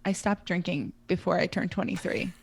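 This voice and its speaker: A woman's voice: on the low side, normal speed, vocal fry, a crowd in the background. A woman in her twenties co-hosting a podcast, chatting casually with frequent laughs and fillers. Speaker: podcast co-host. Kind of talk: casual chat.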